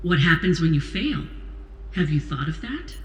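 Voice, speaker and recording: A woman playing a stern, commanding president in an audio drama, speaking in a measured, authoritative way. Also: low voice, sing-song, muffled recording. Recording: roomy echo, faint noise.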